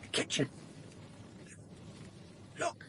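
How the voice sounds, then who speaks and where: An actor playing an observant, brusque police inspector in a radio drama, with deliberate, clipped phrasing and a wry touch. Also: male voice, pushed and bright, heavily acted. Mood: terse, probing. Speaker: lead actor and narrator. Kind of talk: radio drama acting and first-person narration.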